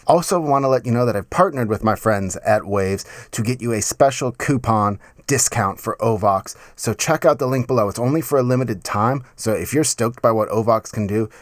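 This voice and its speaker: A man's voice: fairly low pitch, no echo. A man in his thirties giving an informal, enthusiastic, slangy music production tutorial on a close studio microphone in a small home studio. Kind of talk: casual instructional video presenting. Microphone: close studio microphone with pop filter.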